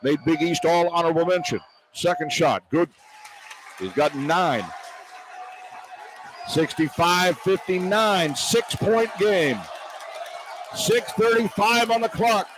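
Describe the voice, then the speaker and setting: High-pitched excitable male basketball play-by-play announcer. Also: takes it slowly, pauses often. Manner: excitable, partisan, clipped running description of play. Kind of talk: live basketball radio play-by-play commentary. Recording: arena noise behind broadcast commentary. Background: arena crowd ambience.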